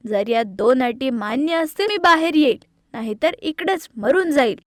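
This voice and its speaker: A high-pitched, spoiled little sister played by a woman from a cartoon moral tale. She is loud and demanding, pushing out threats like a bully, recorded close and dry. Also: animated, normal speed, dry recording. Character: spoiled, bullying, tantrum-throwing younger sister, a girl. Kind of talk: animated moral story acting.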